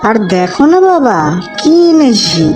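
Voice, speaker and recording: A woman's voice: on the high side, sing-song, measured pace. A woman voicing an eager, carefree young boy in a cartoon, with a West Bengal Bengali accent. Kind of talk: cartoon family dialogue. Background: background music.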